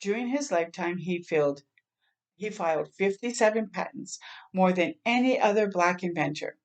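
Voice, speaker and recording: A woman's voice: fairly low pitch, moderate pace. A woman reading a picture book aloud to children as a storyteller, expressively, with asides to her listeners. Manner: expressive, acting out sound words and exclamations.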